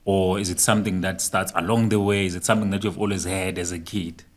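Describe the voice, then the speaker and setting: Relaxed, low-voiced podcast host. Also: male voice, fast. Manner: relaxed, chatty, laughs easily. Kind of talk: casual interview, mixing Ndebele and English.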